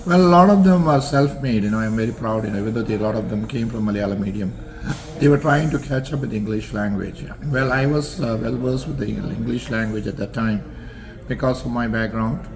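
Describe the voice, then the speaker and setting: An older man from Singapore, answering interview questions about his college years in a measured, formal way with frequent pauses and fillers. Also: mid-range voice, crowd noise, rough recording. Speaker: interview guest, alumnus.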